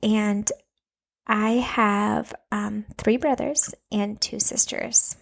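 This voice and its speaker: A woman's voice: medium pitch, fairly even intonation, extremely slow, creaky. A woman in her thirties, born in Latvia with Russian as her first language, hosting a podcast in an earnest, chatty, encouraging way. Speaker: podcast host, anger coach for moms. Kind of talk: casual solo podcast chat.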